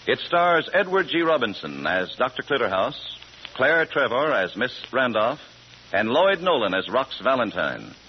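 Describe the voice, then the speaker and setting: A man's voice: mid-range voice, sing-song, moderate pace, a little hiss or hum. A man making a formal, grand scripted announcement in the style of 1940s radio. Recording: muffled old radio broadcast.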